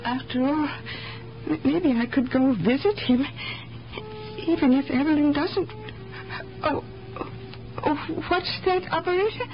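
An actress playing a frail, tormented old mother in a radio drama, tearful, indignant and halting. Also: sits high. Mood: anxious, halting. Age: older. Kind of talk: 1970s radio drama acting. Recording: dull old radio recording with loud music.

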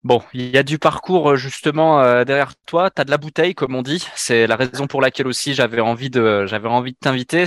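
Podcast host with a dry, close sound. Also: male voice, medium pitch, a bit bright, dry recording. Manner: wordy, hesitant with fillers. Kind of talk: interview questions.

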